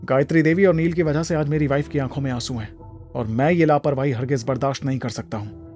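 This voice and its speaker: A man's voice: mid-range voice, quick pace, a little mellow, faint music behind, natural voice. A man playing a protective, powerful husband and boss in an audio drama, stern and formal, with an angry edge. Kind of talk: Hindi audio drama acting.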